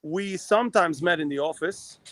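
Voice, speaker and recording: A man from Israel co-hosting a live talk show, talking fast in a rambling way with many restarts. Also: on the high side, fairly bright tone, a little hiss or hum. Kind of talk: casual live chat.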